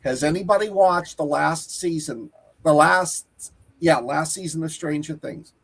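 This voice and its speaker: Husky Boston-accented podcaster. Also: medium pitch, unhurried. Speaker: podcast co-host, teacher. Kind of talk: casual movie review chat.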